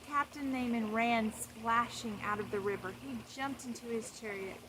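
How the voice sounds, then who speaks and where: Lady with a highish voice doing storytime for little kids, simple asides, lots of background noise. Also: normal speed. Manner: explains simply, repeats phrases for young listeners. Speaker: children's storyteller. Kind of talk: Bible story read aloud with simple asides. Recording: clear steady background noise.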